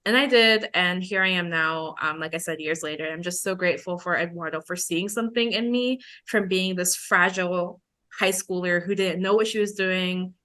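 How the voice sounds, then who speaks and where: A young woman in her twenties with a bright, forward voice. She co-hosts a podcast and speaks casually, like telling friends a personal story, slipping into remembered dialogue as she goes. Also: fairly low pitch, moderate pace. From Belize. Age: 20s. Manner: chatty, informal, acts out remembered dialogue. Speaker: podcast co-host.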